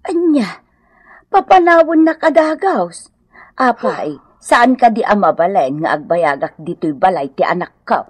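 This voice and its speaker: A woman's voice: medium pitch, expressive, measured pace, slightly rough, no room sound. A woman acting an aggrieved elderly mother-in-law in a radio comedy drama, plaintive and reproachful, with an Ilocano accent. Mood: hurt, reproachful.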